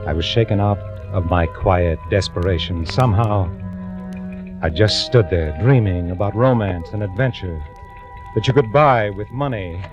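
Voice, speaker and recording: A meek, discontented clerk played by a male actor confides his story in a low, wry, brooding voice. It is old-time radio drama, muffled, with music clearly behind him. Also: normal speed, lightly acted. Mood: resentful, dreamy. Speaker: narrator and lead actor. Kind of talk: 1940s radio drama acting.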